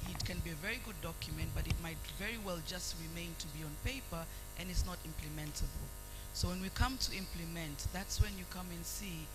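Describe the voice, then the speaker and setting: A woman from Tanzania with a Tanzanian accent, giving an earnest, measured talk as a panelist at a conference. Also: lowish voice, low-quality recording. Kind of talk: panel presentation.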